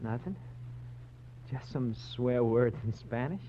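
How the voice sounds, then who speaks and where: A small-time barfly tipster from a radio crime show, eager and wheedling. His pitch leaps all over as he stammers and giggles. The old recording is dull and noisy, with a hum. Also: lively intonation, slow. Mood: sly, giggly.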